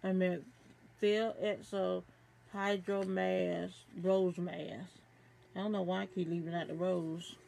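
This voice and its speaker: A middle-aged woman reviewing beauty products, reading aloud haltingly from a card and stumbling over names. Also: fairly low pitch, little pitch movement, vocal fry, dark tone, slight background noise. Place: small room at home.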